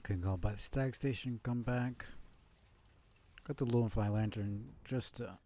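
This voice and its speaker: A man streaming a video game, giving casual, low-key commentary as he thinks aloud in short fragments. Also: measured pace, warm tone, a little hiss or hum. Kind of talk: casual muttered gameplay commentary.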